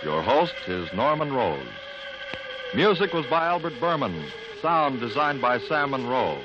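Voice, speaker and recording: A man's voice: medium pitch, very animated, measured pace. A man giving formal, declamatory scripted announcements in the 1950s radio style. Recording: muffled, noisy old radio recording.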